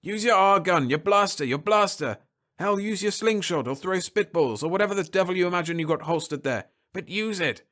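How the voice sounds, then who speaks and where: A man voicing a gruff, cursing spaceship captain who barks orders in a raised, higher voice. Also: lively intonation, brisk, a bit bright. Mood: barking orders. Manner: barking, swearing orders. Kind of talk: dramatic story reading.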